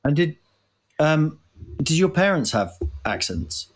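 A man's voice: a little high, big pitch swings, slow pace, a little hiss or hum. A tired man from Nottingham with a Nottingham accent, hosting a casual comedy podcast chat and rambling in a self-mocking way. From Nottingham, England. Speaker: podcast host.

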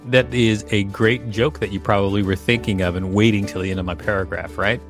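Male podcast co-host, low register, article reading with casual asides. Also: steady tone, brisk, faint music behind.

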